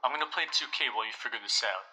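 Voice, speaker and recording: A man's voice: medium pitch, very fast, bright, forceful tone, slight background noise, low-quality recording. A young man playing a suspicious, insistent teenage basketball player in a naturalistic audio drama, speaking casually and bluntly.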